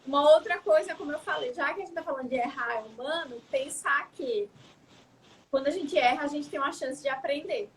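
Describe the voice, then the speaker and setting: A woman's voice: sits high, a little fast, a little hiss or hum, poor audio. A young adult woman, a psychologist, talking in a casual interview, reflective and often adding tag questions. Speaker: psychologist guest.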